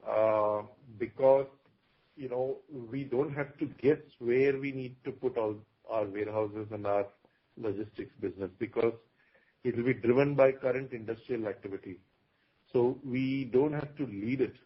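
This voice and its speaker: Husky-voiced male executive on a conference call. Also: medium pitch. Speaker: company executive on an earnings call. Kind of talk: explanatory business talk on a conference call. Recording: dull, lo-fi conference call audio.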